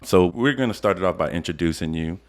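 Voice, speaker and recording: Deep-voiced laid-back male podcast host. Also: lively intonation, fast talker. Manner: laid-back, friendly street slang. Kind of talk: casual interview chat.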